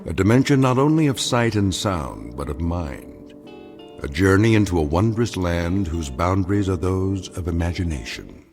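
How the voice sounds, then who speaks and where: An actor playing a grave, judging storyteller, narrating an audio drama in a measured, portentous way. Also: male voice, deep voice, lively intonation, over music. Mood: ominous.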